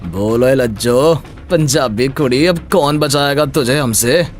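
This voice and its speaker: A man voicing a cruel, taunting gangster boss in an animated moral story, with a threatening, mocking manner. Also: mid-range voice, unhurried, pitched below the performer's own voice, natural voice. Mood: cruel, gloating.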